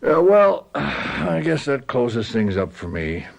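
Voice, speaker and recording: An actor playing a polite, quietly suspicious police sergeant in a radio mystery drama, probing in an understated way. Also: male voice, medium pitch, very animated, slow, creaky, full character voice. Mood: apologetic, condoling.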